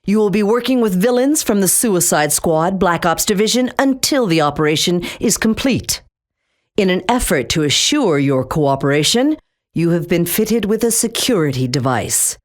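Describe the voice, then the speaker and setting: A steely, middle-aged female agency chief, voiced by an actress for a video game, issuing orders in a cold, clipped, threatening way. Her voice sits low, yet it swings widely between words. Nothing she says leaves room for argument. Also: animated, normal speed, close to an everyday voice. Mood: commanding. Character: stern, commanding female government agency chief.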